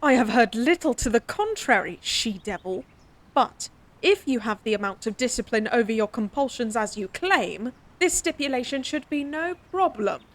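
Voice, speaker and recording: A woman voicing a stern, prim noblewoman attendant in a fantasy audio drama, sharp and scolding. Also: fairly high pitch, animated, moderate pace, lightly acted. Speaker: princess's attendant.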